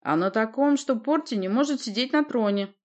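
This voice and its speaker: Woman playing an imperious, high-pitched young sorceress. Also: lively intonation, normal speed, muffled recording, lightly acted. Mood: defiant. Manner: commanding, sharp, insistent. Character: imperious, defiant young sorceress claiming a throne.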